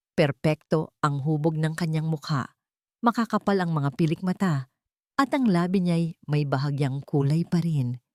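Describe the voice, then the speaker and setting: Low-voiced Filipino woman narrating a dramatic story. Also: dark tone, no room sound, clean studio sound.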